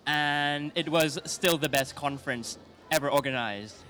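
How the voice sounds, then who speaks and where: A man's voice: on the high side, unhurried, pushed and bright. A young man from Thailand, an interview guest speaking fluently and upbeat. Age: young adult.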